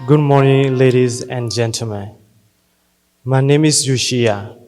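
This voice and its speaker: A young man from Thailand delivers a prepared graduation speech in a slow, careful voice of middle pitch. The sound rings out with a long reverb, as though in a large school hall. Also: a little mellow, faint music behind. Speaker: graduating student.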